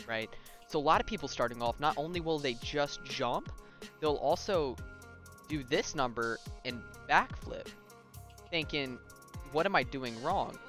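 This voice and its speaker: A man's voice: mid-range voice, moderate pace, sharp tone, faint music behind. A young man giving a casual video game tutorial, talking informally and directly to viewers and often checking in with them. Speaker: gaming tutorial host.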